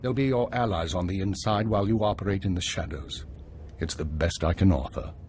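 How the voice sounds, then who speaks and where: A man acting as an older spymaster in a sci-fi game, weary but principled. His low voice is formal and measured, over some background noise. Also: darkish tone, close to an everyday voice. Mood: grave, candid. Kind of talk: scripted video game dialogue.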